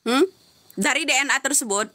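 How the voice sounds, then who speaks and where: A woman's voice: sits high, moderate pace, sharp tone. A woman giving heated live-stream commentary, answering comments with insistent, repeated rhetorical questions.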